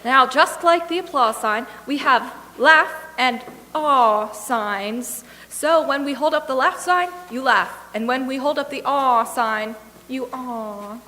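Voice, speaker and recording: High, bright-voiced woman presenter in a hall. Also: slow. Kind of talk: scripted stage introduction to a live radio play. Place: stage in a hall, live audience. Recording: echoey hall reverb, hissy background noise.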